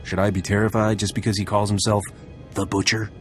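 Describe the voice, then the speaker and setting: A cocky, gruff male space captain voiced by an actor for a video game, impatient and roguish. His voice is fairly low, with faint music behind. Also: flat delivery, fairly quick, close to an everyday voice. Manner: brash, cocky.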